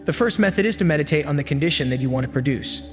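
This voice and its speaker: Man, slightly high voice, scripted self-help narration, steady even delivery, long hall reverb. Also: very fast. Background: faint background music.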